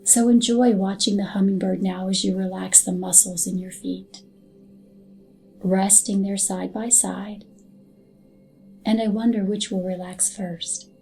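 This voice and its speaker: A woman narrating a scripted hypnotic guided meditation in a soothing, soft and unhurried manner. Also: mid-range voice, fairly even intonation, creaky. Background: background music.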